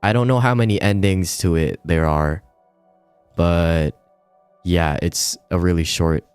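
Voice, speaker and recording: Male game streamer, low register, clean and dry sound, informal and a bit halting. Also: steady tone, darkish tone, clean tone, no room sound. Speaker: livestreamer. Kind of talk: casual livestream chat, reading game text aloud. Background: music.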